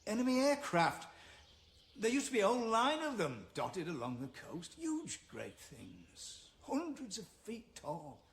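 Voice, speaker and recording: An actor playing a gruff, reclusive birdwatcher in a naturalistic radio drama, halting and curt but with a wistful streak. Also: male voice, sits high, wildly swooping pitch, fairly bright tone, full character voice. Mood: grudging, guarded.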